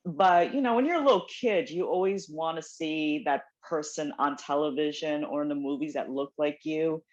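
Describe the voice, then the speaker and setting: A woman's voice: sits low, normal speed. A woman in her forties, raised in New Jersey and, chatting casually as a playwright guest from home, full of ums and self-deprecating asides. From South Korea, raised in New Jersey. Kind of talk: casual interview chat. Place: home, dog barking nearby. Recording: dull lo-fi call audio.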